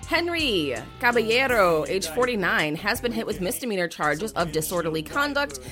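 A woman's voice: medium pitch, sing-song, moderate pace, music in the background. A woman co-hosting a casual podcast, swearing, laughing and reading out news stories. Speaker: podcast co-host.

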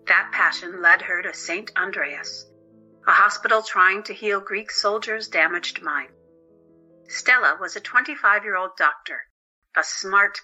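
A woman's voice: lowish voice, bright, forceful tone, lo-fi sound. A woman narrating a scripted dramatic story as an audio drama narrator. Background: music.

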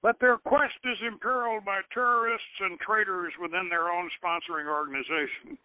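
A man hosting a radio show, reading a scripted text and his own novel aloud in deliberate phrases, as if over a telephone. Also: high-pitched, fairly even intonation, dry recording. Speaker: radio show host and author. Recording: tinny phone-line radio broadcast.

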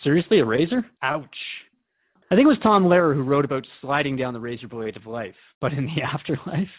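Radio drama role: male actor as an inquisitive, wry talk-show host, natural conversational delivery, medium-pitched voice. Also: lively intonation, fairly quick, phone-quality sound, close to an everyday voice. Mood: surprised, wry. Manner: conversational, wryly questioning. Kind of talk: scripted radio drama interview.

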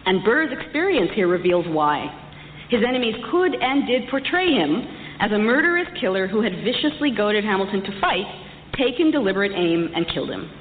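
A woman giving a prepared public lecture, telling history in measured, emphatic and deliberate phrases. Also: medium pitch, sing-song. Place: large reverberant hall. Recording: echoey hall, dull distant sound, faint noise.

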